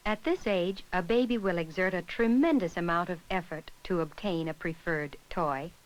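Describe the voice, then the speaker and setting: Low-pitched woman narrating an educational film. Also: poor audio. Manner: measured, instructional.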